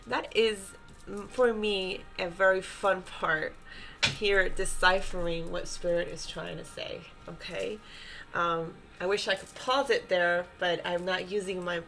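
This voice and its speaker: A woman with a blocked, stuffy nose speaks slowly and unhurriedly, her voice sitting a little low. She lays out tarot cards with soft reassurance, with clear music playing behind her. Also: steady tone. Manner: gentle, reassuring, often checks in with "okay?". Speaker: tarot reader. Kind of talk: unscripted spiritual tarot reading.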